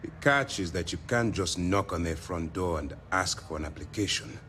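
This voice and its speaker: An actor playing a composed, authoritative military commander in a video game, speaking formally and deliberately. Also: male voice, low voice, fairly bright tone, a little hiss or hum. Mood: formal, purposeful. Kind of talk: video game character dialogue.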